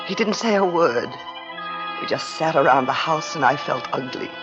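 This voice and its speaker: An actress playing a frightened, guilt-haunted young wife in a 1940s radio thriller, pleading anxiously and often repeating herself. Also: female voice, low-pitched, expressive, moderate pace. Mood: haunted, confiding. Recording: dull old radio recording with music.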